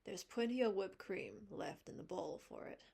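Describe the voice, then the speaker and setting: A woman's voice: mid-range voice, very animated, moderate pace, creaky, darkish tone, husky, exaggerated voice. A woman reading aloud, voicing a strict older woman who scolds firmly. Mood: consoling. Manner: stern, scolding, firm.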